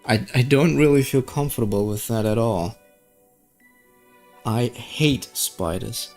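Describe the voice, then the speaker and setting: Game streamer, medium-pitched voice, casual commentary, informal and grumbling, music in the background. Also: slow pace, darkish tone. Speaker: livestreamer playing a video game.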